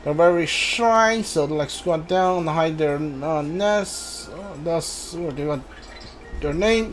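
A video game streamer giving casual commentary and reading on-screen dialogue aloud, muttering informal asides. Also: medium pitch. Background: video game ambience.

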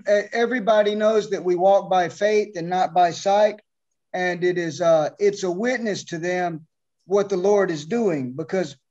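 High-voiced missionary man on a webcam. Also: darkish tone. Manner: devout, hesitant speech with many restarts. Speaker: missionary, orphanage founder. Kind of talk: interview on a video call. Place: home room, beside his wife. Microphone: video call webcam. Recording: muffled lo-fi video call audio.

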